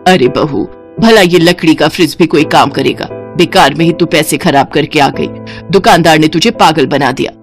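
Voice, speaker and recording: A sceptical older mother-in-law in a cartoon tale, played by a woman. Her voice is lowered, and she nags and dismisses. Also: normal speed, faint music behind. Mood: scornful. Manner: nagging, dismissive.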